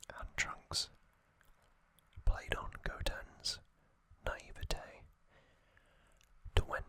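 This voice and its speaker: An ASMR host giving a relaxed recap in a soft, unvoiced whisper, with casual fillers and small asides. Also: no echo.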